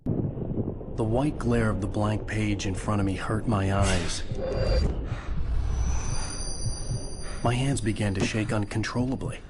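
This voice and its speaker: An actor playing a driven, haunted writer on the edge in a video game, speaking with intense insistence. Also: male voice, lowish voice, fairly even intonation, measured pace, very noisy, natural voice. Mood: haunted, grim. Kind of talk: dramatic video game acting and narration.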